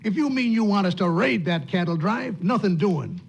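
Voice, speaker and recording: An actor playing a nervous, grumbling outlaw henchman with a gravelly, husky voice in a 1940s radio western. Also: high voice, lively intonation, normal speed, a little hiss or hum. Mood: agitated. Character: nervous, grumbling outlaw henchman, tough-talking but cowardly. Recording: old 1940s radio recording.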